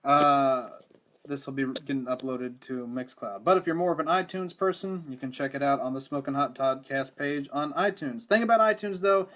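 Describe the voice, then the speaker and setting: A young man hosting a live podcast stream, his voice hoarse and rattly, chatting casually and rambling with lots of restarts and self-deprecating asides. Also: mid-range voice, normal speed, a bit bright, a little hiss or hum. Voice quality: rattly, strained voice. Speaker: podcast host. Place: home room with mic. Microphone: studio microphone.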